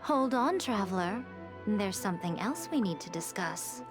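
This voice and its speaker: A woman voicing a sly, elegant fox shrine priestess in an anime game, speaking in a silky, coy, measured and teasing manner. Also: medium pitch, expressive, natural voice. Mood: businesslike. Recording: music behind the voice.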